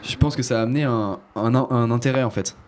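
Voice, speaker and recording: A young man hosting a casual chat around a table, explaining his points quickly with frequent fillers. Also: mid-range voice, slight background noise.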